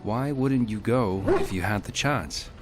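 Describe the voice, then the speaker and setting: An actor voicing a calm, terse warden hero in a video game. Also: male voice, on the low side, unhurried, lightly acted. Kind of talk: video game dialogue acting. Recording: game music behind.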